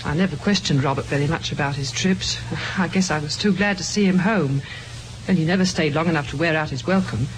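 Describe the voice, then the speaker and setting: A woman's voice: sits low, a little fast, lightly acted, poor audio. An actress as a devoted young mother, anxious about her husband. She speaks with wistful sincerity in old radio drama style. The recording has a faint layer of noise. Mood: wistful, worried. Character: worried, loyal young wife and new mother.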